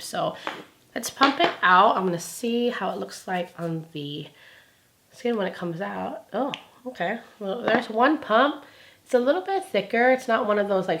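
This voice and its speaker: A woman in her thirties, a little raspy while she gets over laryngitis, chatting casually through a makeup review at home. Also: fairly low pitch. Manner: chatty and upbeat, often drops to a quieter tone while applying. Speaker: beauty vlogger. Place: home filming room with shelves and vanity lights.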